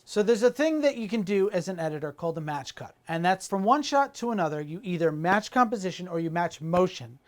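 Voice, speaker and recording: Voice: medium pitch, expressive, brisk, hardly any pauses. A podcast co-host casually commenting on movie trailers, with a playful, teasing way of bantering.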